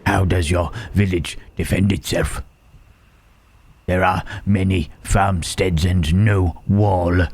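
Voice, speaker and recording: Man acting wary gentle creature, very slow, low-pitched, hushed, halting, old-fashioned wording, intimate ASMR roleplay. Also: steady tone, heavily acted. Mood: thoughtful, grave. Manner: halting, formal archaic phrasing, questioning "huh".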